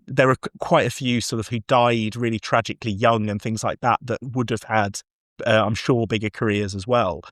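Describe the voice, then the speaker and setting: A man's voice: lowish voice, steady tone, moderate pace, fairly bright tone, no room sound, clean studio sound. A man co-hosting a podcast, discussing audio drama in a casual, discursive and enthusiastic way.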